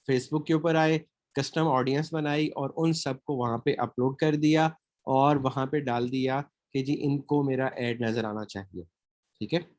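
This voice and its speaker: A man's voice: on the high side, darkish tone, no room sound. A man from Pakistan with a sore throat, giving a recorded lecture as a marketing trainer, often checking in with his listeners. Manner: explanatory, checks in with "theek hai?" often.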